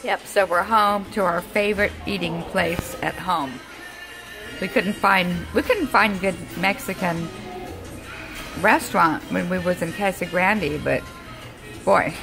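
Folksy, chatty woman with a gravelly edge. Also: lowish voice, unhurried, over music, low-quality recording. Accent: American. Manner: chatty, laughs often, folksy. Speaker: vlogger. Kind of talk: casual vlog chat. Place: inside a vehicle. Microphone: handheld phone camera.

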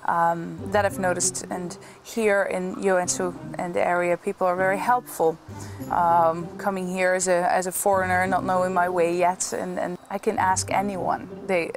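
A woman's voice: lowish voice, level tone, moderate pace, over music. A woman from the Netherlands whose first language is Dutch, talking in a thoughtful, friendly way and sometimes searching for words, in a documentary interview. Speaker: band vocalist.

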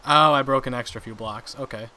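A young man casually commenting on his own gameplay, chatty and quick to laugh at his mistakes. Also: mid-range voice, background noise. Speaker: gaming channel host.

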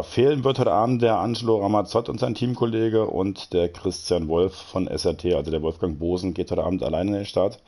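A man's voice: on the low side, creaky, dark tone. A man commentating a live sim racing broadcast, chatty and joking as he reads out standings and greets viewers. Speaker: race commentator.

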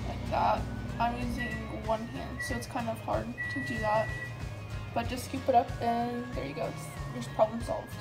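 A young woman vlogs from her home kitchen, chatting loosely to the camera while she bakes. Her voice sits slightly high and her talk rambles, full of fillers. Clear background music plays behind her. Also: rough recording. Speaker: vlogger. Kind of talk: casual baking vlog.

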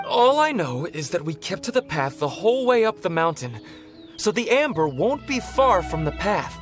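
A man's voice: high voice, big pitch swings, normal speed, over music. A man playing a desperate, frightened poor treasure hunter in a video game, pleading and overwrought. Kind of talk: video game character acting.

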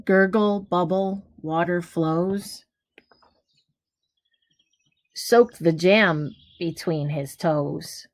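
A middle-aged woman reading a children's picture book aloud, deliberately, pausing between phrases. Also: lowish voice. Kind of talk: children's storybook read-aloud. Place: small room at home, indoors. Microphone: webcam or device at arm's length.

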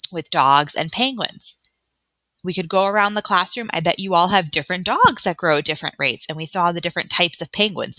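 A woman teacher reading an educational book aloud for children, adding explanations in a clear, expressive, instructional way. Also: fairly low pitch, no echo, rough recording. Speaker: elementary school teacher.

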